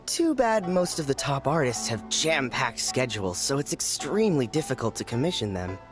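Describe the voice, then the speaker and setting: A man voicing an opinionated light novel fan who lectures in a lively way. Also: medium pitch, moderate pace, music in the background, natural voice.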